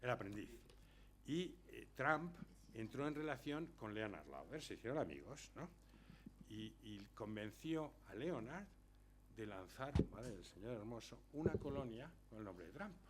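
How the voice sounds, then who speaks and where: An older man talking easily to a live audience, spinning his stories in a chatty, anecdotal flow. He checks in with listeners constantly as he goes, and his pitch sits right in the middle for a man. Faint background noise hangs under his voice. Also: big pitch swings, a little slow. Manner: chatty anecdotal storyteller, lots of tag questions. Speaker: author presenting his book. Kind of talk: casual talk answering audience questions. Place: lecture hall before an audience.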